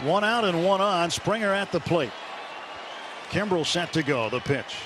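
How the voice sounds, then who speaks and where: A man's voice: high voice, animated, measured pace, crowd noise. A man doing live radio play-by-play of a baseball game in clipped present-tense calls, as the announcer in the booth. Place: stadium broadcast booth. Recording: noisy live ballpark radio broadcast. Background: loud ballpark ambience.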